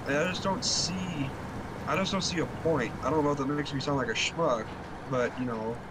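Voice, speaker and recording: A man's voice: a little high, moderate pace. A man chatting casually as a livestream co-player, informal and self-deprecating, often repeating himself. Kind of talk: casual chat. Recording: clear background engine noise.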